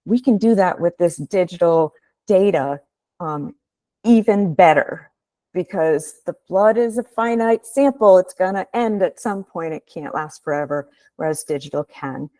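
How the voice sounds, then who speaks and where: A woman researcher giving a measured, explanatory webinar presentation over a video call. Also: on the low side, warm tone, no room sound.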